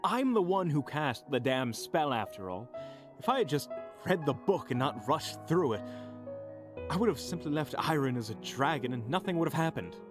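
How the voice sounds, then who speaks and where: A man playing a bumbling, slightly sly young spellcaster in a fantasy audio drama, halting and dramatic. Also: fairly high pitch, big pitch swings, music in the background. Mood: regretful.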